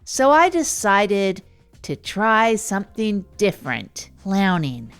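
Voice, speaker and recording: A woman's voice: medium pitch, very animated, takes it slowly, clean tone, faint music behind. A woman playing an upbeat, awkward, down-on-their-luck local radio host in an improvised comedy audio drama, chatting informally and hesitantly. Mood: chatty, wry. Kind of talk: improvised comic monologue, chatty radio storytelling.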